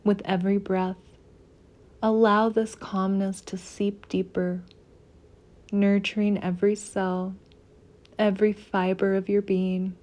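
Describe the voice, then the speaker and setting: A woman leading a guided meditation in a soft, soothing, unhurried manner with gentle pauses. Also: medium pitch, little pitch movement.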